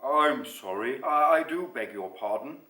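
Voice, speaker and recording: A man voicing a huge but gentle mother monster in a storybook, polite, apologetic and hesitant. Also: medium pitch, animated, unhurried, reverberant, rough recording.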